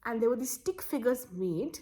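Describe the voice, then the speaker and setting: A woman's voice: fairly high pitch, lively intonation, moderate pace, mellow. A woman telling a folktale aloud to children as host, in a dramatic way that repeats words for emphasis. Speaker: storyteller and host.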